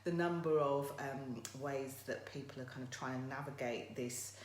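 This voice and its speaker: A woman with a croaky voice hosting a casual live motivational talk, chatting directly to her viewers. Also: extremely low pitch, moderate pace, a little mellow. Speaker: motivational livestream host. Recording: roomy echo, faint background noise.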